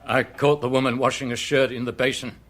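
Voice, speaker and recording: A man's voice: medium pitch, little pitch movement, fairly quick, a bit bright, close to an everyday voice. A man acting in a British radio detective drama as a grudging, evasive lodging-house keeper who answers colloquially and defensively, with fear underneath.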